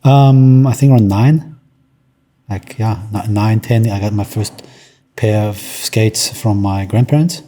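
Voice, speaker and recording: Low, mellow-voiced man. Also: fairly even intonation, a little slow, slight background noise. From Germany. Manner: hesitant, many ums and restarts. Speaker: artist guest. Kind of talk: casual interview conversation.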